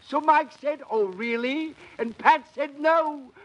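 An actor playing a pompous would-be comedian who tells corny puns with grand theatrical flair, in 1940s radio comedy style. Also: male voice, very high voice, takes it slowly, dull audio, heavy background noise. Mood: hammy.

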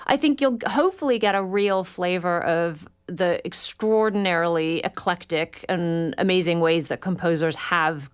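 Articulate woman, smooth lowish voice. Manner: articulate, enthusiastic, thoughtful. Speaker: guest author. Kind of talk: radio call-in interview.

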